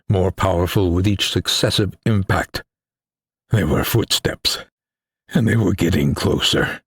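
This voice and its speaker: Very deep, crisp male audiobook narrator. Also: no echo, clean studio sound. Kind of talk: scripted dramatic horror-adventure narration.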